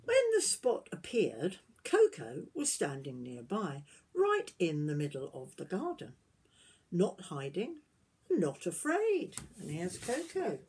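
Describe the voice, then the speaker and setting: A woman in her sixties reads a picture book aloud as a granny would to young children, adding gentle questions and asides to them. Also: on the low side, wildly swooping pitch, takes it slowly, husky. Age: 60s. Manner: gentle questioning, addressing young listeners directly. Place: small wood-panelled garden room, indoors.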